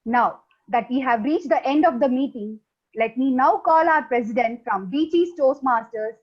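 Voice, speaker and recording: Woman host of an online meeting, formal, prepared lines, high voice, dull lo-fi sound. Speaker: meeting host (toastmaster of the day).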